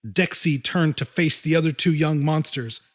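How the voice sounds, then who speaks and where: A man narrating a horror audiobook in a dramatic, deliberate style with weighty pauses. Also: a little high.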